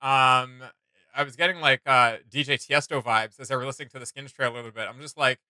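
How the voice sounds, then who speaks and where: A young man chatting casually as a reaction video co-host, enthusiastic and animated, with slangy phrasing. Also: mid-range voice, fast talker, clear, resonant tone, dry recording. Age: young adult. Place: small indoor room with gaming setup.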